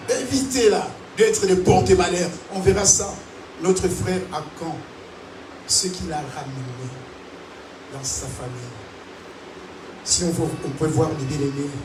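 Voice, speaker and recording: A man preaching with a high, bright voice that climbs and falls as he calls out to his congregation. He is heard from some distance in a roomy, echoing hall. A steady noise runs under his voice. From Africa. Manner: animated preaching with call-and-response. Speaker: pastor. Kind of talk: sermon. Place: large church hall. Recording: echoey hall, distant mic, noisy.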